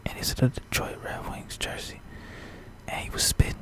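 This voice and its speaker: A man's voice: normal speed, fairly bright tone. A young adult American man whispering an ASMR story in a slangy, rambling way, often repeating himself and checking in with the listener.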